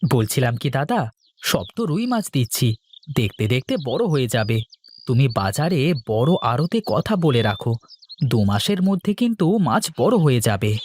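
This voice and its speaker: A man's voice: mid-range voice, big pitch swings, normal speed, darkish tone, slight background noise, lightly acted. A man voicing an eager, deferential young village fish-farm helper in a cartoon story. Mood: confident, eager. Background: music.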